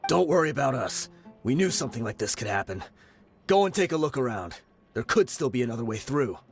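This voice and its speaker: An actor voicing a loyal mercenary underling in a video game, hurried and earnest. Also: male voice, mid-range voice, sing-song, faint music behind. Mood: urgent, loyal.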